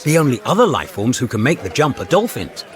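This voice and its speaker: Man as a breezy space traveller, lively pitch. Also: a little fast, natural voice. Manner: casual, knowing. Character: breezy, worldly galactic traveller explaining things to friends. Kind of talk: radio science fiction comedy acting.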